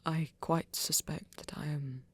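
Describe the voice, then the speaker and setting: A woman playing a flirty, tender vampire in an intimate ASMR romance, speaking gently and haltingly in a breathy half-whisper. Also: sits low, fairly even intonation. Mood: nervous, adoring. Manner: halting, gentle, careful phrasing. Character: flirty, tender vampire shyly confessing love to a hunter.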